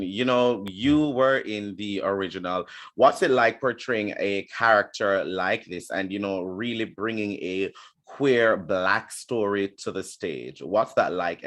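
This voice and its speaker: A man with a fairly low voice hosts an interview. His style is conversational, as someone drawing out his guests. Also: a bit bright. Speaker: interview host.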